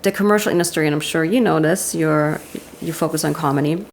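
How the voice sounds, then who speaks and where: Austrian-accented woman guest in her thirties, low gravelly voice, candid and quick to laugh. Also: a little hiss or hum. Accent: Austrian German. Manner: candid, laughs often, quick self-deprecating asides. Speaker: guest, commercial director. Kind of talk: casual interview chat.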